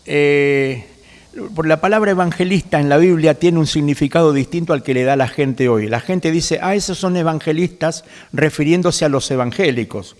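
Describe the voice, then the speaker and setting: A man in his seventies with an Argentine accent, a pastor guest who explains scripture in a conversational preaching way, quoting verses and filling pauses with "este". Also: on the high side, darkish tone, big-room sound. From Galicia, Spain. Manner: quotes scripture at length, fills pauses with "este".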